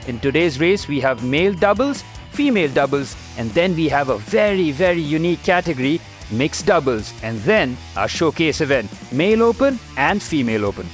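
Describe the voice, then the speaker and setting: Man, Indian English accent, race commentator, animated pitch, faint music behind. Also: moderate pace. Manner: upbeat, excitable presenter. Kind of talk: scripted sports commentary.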